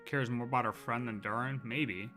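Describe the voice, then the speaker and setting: A man's voice: mid-range voice, steady tone, normal speed, pushed and bright. A man giving casual live commentary on a game playthrough, musing and thinking aloud. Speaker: game streamer. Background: faint game music.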